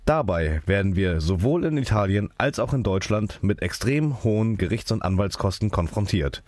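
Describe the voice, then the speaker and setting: A man's voice: on the low side, a little mellow, dry recording. A man speaking as an activist, delivering a scripted political rally speech.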